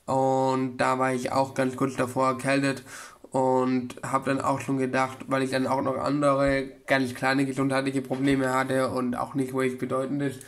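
Rambling young man, flat voice. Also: medium pitch, moderate pace. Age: about 21. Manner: rambling, many fillers and restarts. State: getting over a cold. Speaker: vlogger. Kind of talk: casual chat. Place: small room with bookshelf, indoors. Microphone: webcam at desk, close.

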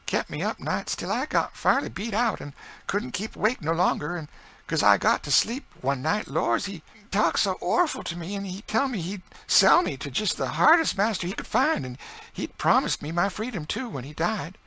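A man voicing a grieving enslaved mother with a rural Southern accent, high and plaintive. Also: sing-song, moderate pace, vocal fry, bright, forceful tone, full character voice. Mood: sorrowful. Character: frightened grieving enslaved woman, middle-aged mother.

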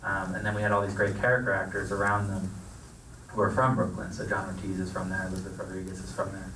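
A man, a film producer, answering questions on a theater stage into a handheld mic, measured and thoughtful with frequent restarts. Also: deep voice, monotone, bright, forceful tone. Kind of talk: panel Q&A answers. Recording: echoey theater, distant mic, noisy.

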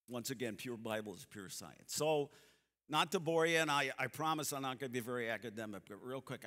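A man in his seventies giving a church seminar talk, a folksy, animated storyteller who acts out the people in his stories. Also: medium pitch. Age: 70s. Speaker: seminar speaker. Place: church sanctuary stage, seated. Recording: long hall reverb from the sanctuary.

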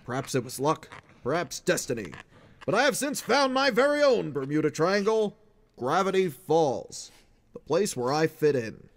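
A man's voice: on the high side, very animated, normal speed. A man voicing an eccentric, obsessive middle-aged paranormal researcher, reading his journal as dramatic audiobook narration in an erudite, emphatic way. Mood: reflective. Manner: theatrical, erudite, emphatic delivery.

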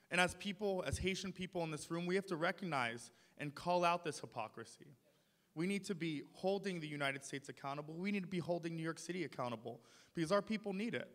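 A man's voice: sits high, fairly bright tone. A young man, a city council member, giving a passionate speech at a podium in a large ornate hall. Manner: passionate, rising to emphatic points. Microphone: podium mic. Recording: long hall reverb. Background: audience in the hall.

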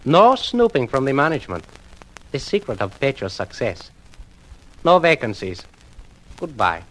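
An actor playing a smug, evasive rooming-house manager with a Spanish accent in a 1950s radio drama. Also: male voice, mid-range voice, huge pitch swings, a little slow, noisy background. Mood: smugly evasive.

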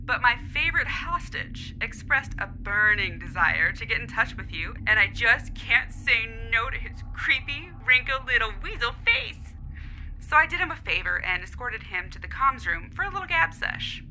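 An actress playing a cocky, gloating mutineer captor, casual and playfully taunting, in a science fiction audio drama. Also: female voice, fairly high pitch, animated, normal speed, sharp tone, slight background noise, exaggerated voice, rough recording. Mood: cocky, teasing.

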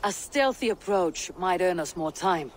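An actor playing a calm, strategic Viking clan leader in a video game. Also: male voice, high-pitched, a little hiss or hum. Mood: determined. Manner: measured, diplomatic, firm. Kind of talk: video game cutscene acting.

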